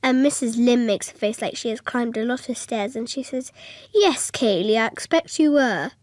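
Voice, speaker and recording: A woman's voice: a little high, moderate pace, darkish tone, dry recording, close to an everyday voice. A female performer playing an innocent, watchful little girl who narrates a radio drama in an artless, matter-of-fact way. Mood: earnest. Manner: simple, artless, matter-of-fact childlike telling.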